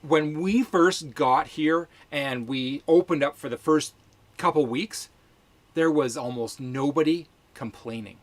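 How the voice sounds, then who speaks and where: A chatty man with a slightly high voice co-hosts a casual live stream. He talks with firm emphasis and jokes along with his partner. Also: measured pace. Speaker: co-host and workamper.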